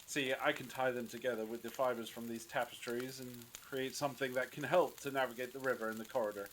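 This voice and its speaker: A man acts a suspicious, practical captive who likes to take charge. His delivery is sarcastic and domineering at a middle pitch. It is an amateur audio drama with slight noise. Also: fairly even intonation, fast talker, a bit bright, close to an everyday voice, rough recording. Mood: sarcastic, curt. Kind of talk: fan audio drama acting.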